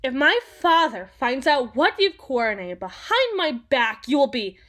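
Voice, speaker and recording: A woman playing a haughty, sharp-tongued young empress in a dramatic audio roleplay, imperious and theatrical, with formal old-fashioned diction. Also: sits high, very animated, normal speed. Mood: outraged disbelief.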